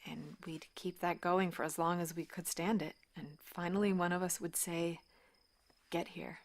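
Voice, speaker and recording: A woman playing a warm, candid woman in a naturalistic audio drama, speaking in an open, earnest, conversational way. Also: on the low side, steady tone, normal speed, close to an everyday voice. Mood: wistful, intimate. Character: warm, candid woman caught up in a smuggling scheme.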